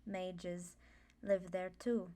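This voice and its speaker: A woman's voice: lowish voice, flat delivery, very slow, mellow, voice pushed down. A woman playing a friendly, confident young female student mage, chatty and forthright, in an ASMR roleplay. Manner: chatty, forthright, self-assured. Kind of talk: ASMR roleplay, casual introduction.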